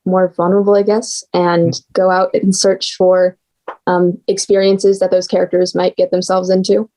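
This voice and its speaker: A woman's voice: on the low side, level tone, moderate pace, warm tone, low-quality recording. A young woman, chatting casually as a guest writer. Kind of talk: casual chat.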